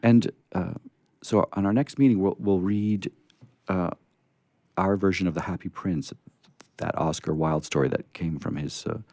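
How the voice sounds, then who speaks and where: Low mellow guy hosting a radio show, off the cuff, kinda rambly and folksy, dry room sound. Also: a little slow, dry recording. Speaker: host of a radio storytelling show. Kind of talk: casual unscripted show chat.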